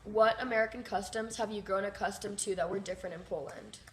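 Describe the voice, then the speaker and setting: A woman asking interview questions in a soft, quiet voice. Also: mid-range voice, quick pace, a little hiss or hum.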